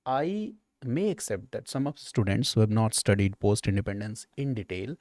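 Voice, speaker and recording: A man's voice: lowish voice, expressive, normal speed, a little mellow, no echo. Emphatic Indian male teacher, dry close sound. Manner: emphatic, repetitive, punctuated with check-in questions. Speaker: exam coaching teacher. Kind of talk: explanatory exam walkthrough.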